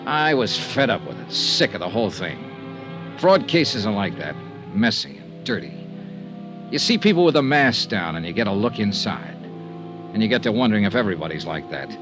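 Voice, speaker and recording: An actor playing a cynical, world-weary insurance investigator with an American accent in an old-time radio detective drama. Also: male voice, fairly low pitch, very animated, moderate pace. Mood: weary, brooding. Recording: vintage radio recording, faint music.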